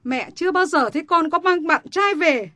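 An actress playing a strict, quick-tempered mother, scolding her daughters in a family drama. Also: very high voice, lively intonation, pushed and bright, no echo, heavily acted. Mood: anguished. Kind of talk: radio-style family drama.

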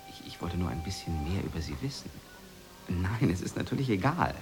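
An awkward, courteous husband in a radio drama. His deep voice moves up and down as he stammers and hesitates, eager to please, with faint music behind him. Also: big pitch swings, fairly quick. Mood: uneasy, polite.

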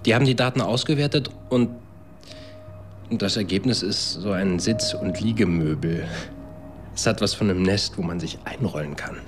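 A man's voice: sits low, normal speed, lightly acted. An actor playing a brusque, cynical tech company man in a German radio drama, curt and sardonic. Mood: sardonic, defensive. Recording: music behind the voice.

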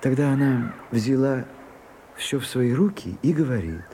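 An actor playing a shy, prim gentleman in a radio play, confiding haltingly and easily flustered. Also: mid-range voice, lively intonation, natural voice. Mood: flustered, hurt. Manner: hesitant, halting, bashful. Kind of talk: Russian radio play acting. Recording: muffled Soviet-era radio recording, some hiss.

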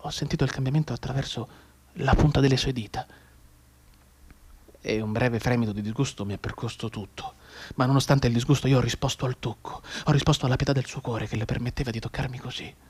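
An actor playing a mute, bedridden wounded man in an intense radio monologue, going between hushed brooding and anguished urgency. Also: mid-range voice, steady tone, fast talker, husky, close to an everyday voice. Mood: tormented, subdued. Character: mute, bedridden wounded man desperately tapping out Morse code.

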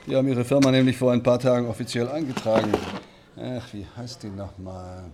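A man's voice: mid-range voice, steady tone, brisk, background noise, natural voice. A man acting an impatient, sarcastic police investigator in a German radio drama, needling a suspect. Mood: mocking. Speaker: actor in a radio play.

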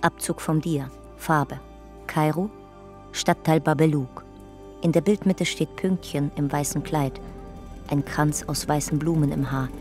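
Terse woman narrating in a low voice. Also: steady tone, unhurried, a little mellow, soft background music. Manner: terse, descriptive. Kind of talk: scripted radio drama narration.

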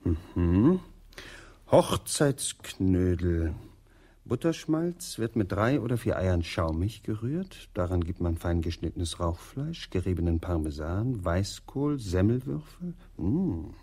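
A deferential butler in a radio drama. His low, husky voice moves very slowly. It rises and falls widely as he puzzles over what he reads. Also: very animated, dark tone, exaggerated voice. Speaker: actor.

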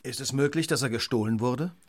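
Man acting sharp-minded gentleman detective, radio drama, precise questions, medium pitch, very dry sound. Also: brisk, dry recording, lightly acted. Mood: probing.

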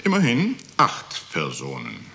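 An actor playing a cold, mocking bureaucrat in a 1960s German radio drama, giving condescending, matter-of-fact instructions. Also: male voice, extremely low pitch, wildly swooping pitch, measured pace, vocal fry, pushed and bright, exaggerated voice. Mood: businesslike, callous. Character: cold, mocking male bureaucrat of a deportation office. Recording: 1960s radio studio recording.

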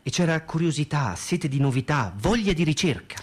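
A man's voice: on the high side, animated, normal speed, husky. A man reading scripted radio theatre narration in a declamatory, literary way. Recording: music bed behind the voice.

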